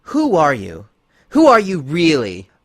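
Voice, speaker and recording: A man acts out a young female unicorn in a storybook tale, paranoid and intense. The voice is lifted high and moves dramatically, full of demanding emotion, in a dry, close recording. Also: wildly swooping pitch, a little slow, no room sound, exaggerated voice. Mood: angry. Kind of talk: dramatic audiobook character reading.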